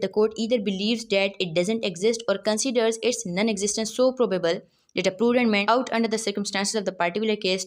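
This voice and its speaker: A woman with a Pakistani accent explaining like a law lecturer, didactic, often posing questions and answering them herself. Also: fairly high pitch.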